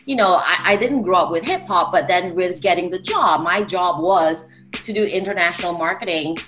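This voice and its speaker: A woman's voice: on the low side, normal speed, a bit bright, a little hiss or hum. A woman from Singapore, a music executive, talking casually on a panel with many "like"s and "you know"s. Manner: chatty, filler-heavy with "like" and "you know".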